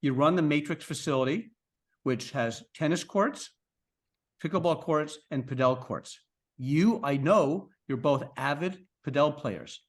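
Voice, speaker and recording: A fairly high-pitched man leads a podcast interview with eager, chatty questions. He trips over his words and restarts his sentences as he goes. The tone is friendly and talkative. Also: measured pace. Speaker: podcast interviewer, league co-founder.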